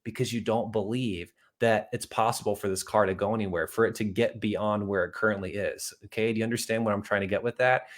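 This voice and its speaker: Earnest man preaching money and faith. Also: on the low side. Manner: earnest, conversational, with many asides to "guys"; acts out little dialogues and tells anecdotes. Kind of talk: motivational video talk to viewers.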